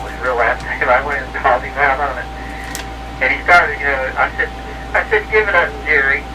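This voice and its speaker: A man talking casually and ramblingly as an amateur operator over a CB radio transmission. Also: mid-range voice. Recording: noisy CB radio, distant-sounding transmission.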